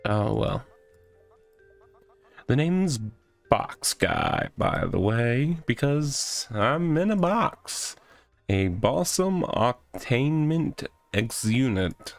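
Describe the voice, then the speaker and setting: A man's voice: medium pitch, sing-song, drawn-out words, creaky, faint music behind, exaggerated voice. A man voicing an odd, rambling guy who lives in a box in a comic visual novel, talking absurd nonsense matter-of-factly. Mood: awkward joking.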